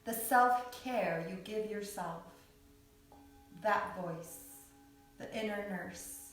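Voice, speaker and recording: A woman guiding a yoga nidra meditation in a soothing, unhurried way, with long pauses between short phrases. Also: mid-range voice, a bit bright. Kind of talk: guided meditation. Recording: echoey, distant mic with background music.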